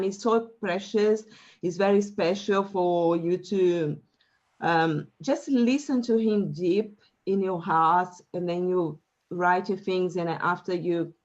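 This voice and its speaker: A woman from Brazil with a Brazilian Portuguese accent, whose first language is Portuguese, speaking earnestly as an interview guest with many pauses and fillers. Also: on the low side, a little mellow, dull audio. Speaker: guest, career coach. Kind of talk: faith-centered interview answers.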